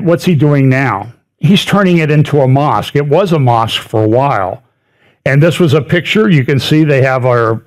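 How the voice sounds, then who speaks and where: A middle-aged man talks through a slideshow in a loose, conversational way, his voice sitting a touch higher than most men's. He repeats words to stress them and tosses in dry little asides. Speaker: speaker giving a prophecy update talk. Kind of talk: lecture with slides.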